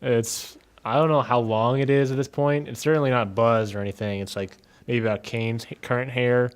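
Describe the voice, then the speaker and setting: A man's voice: mid-range voice, steady tone, moderate pace, smooth. Hesitant man in casual game chat. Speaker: player in a tabletop game podcast.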